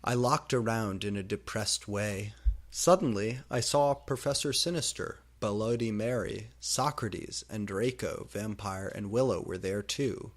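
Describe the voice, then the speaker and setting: A man reading fan fiction aloud with deliberate, theatrical, comedic narration. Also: on the low side.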